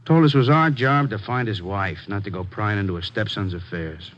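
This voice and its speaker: Actor as a worried missing-persons cop, lowish male voice, insistent, plain-spoken, muffled old radio recording. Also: fairly quick, a little hiss or hum, close to an everyday voice. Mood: earnest reporting. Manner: colloquial, earnest. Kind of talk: 1940s-50s radio crime drama acting.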